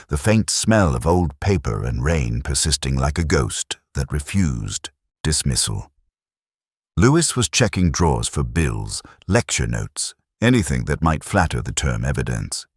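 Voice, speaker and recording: A middle-aged man with a very deep, slightly husky voice reads a detective mystery. His delivery is measured and brooding, with a wide, expressive rise and fall. The sound is close and dry, suited to literary first-person narration. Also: dry recording.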